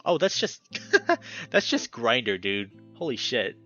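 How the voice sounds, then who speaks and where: A man reads a visual novel aloud and breaks off for animated, cussing reactions. His pitch swings widely. The sound is dull and lo-fi, with loud plucked guitar music in the background. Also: slow pace. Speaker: visual novel let's player.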